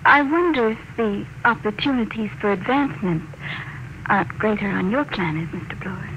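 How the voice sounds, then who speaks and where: A woman's voice: medium pitch, animated, moderate pace, close to an everyday voice. An actress playing a naive, anxious young woman in a 1950s science fiction radio drama, earnest and emotional. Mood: coy. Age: young adult. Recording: muffled, noisy old radio transcription.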